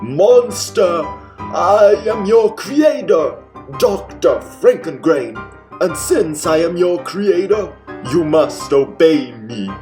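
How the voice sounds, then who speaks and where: A man's voice: high-pitched, animated, slow, darkish tone, soft background music, voice pushed up. A man playing a megalomaniac, bossy mad scientist villain with theatrical delivery, in the style of old comedic radio mystery. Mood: excited, commanding. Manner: theatrical, exclaiming, cackling.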